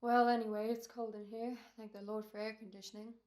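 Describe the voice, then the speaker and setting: A woman's voice: on the high side, level tone, brisk, darkish tone, natural voice. A woman playing an eager, unsure male radio actor in an old-time radio drama, with a hesitant, self-questioning manner. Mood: unsure, fretful.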